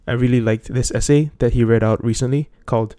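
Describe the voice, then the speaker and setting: A man's voice: medium pitch, fairly even intonation, a little fast, dark tone. A man presenting casually, introducing someone else and their reading.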